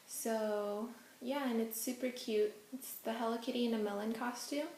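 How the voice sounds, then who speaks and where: A woman's voice: a little high, fairly even intonation, normal speed, a little mellow, reverberant, a little hiss or hum, low-quality recording. A young woman whose first language is Hokkien, chatting casually through a Q&A vlog at home with lots of ums. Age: young adult. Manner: chatty, many ums, giggly asides. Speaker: vlogger. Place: indoors at home, face out of frame.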